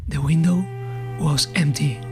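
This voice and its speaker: A man narrating a horror story in short, ominous, halting phrases that often drop to a breathy hush. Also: a little high. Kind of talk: slow dramatic scripted horror narration. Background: eerie suspense music.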